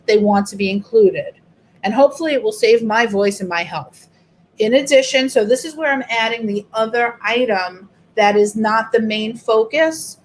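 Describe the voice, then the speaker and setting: A woman's voice: a little high, slight background noise. A middle-aged woman teacher, walking a group through an online workshop in a chatty, explanatory way. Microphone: video call.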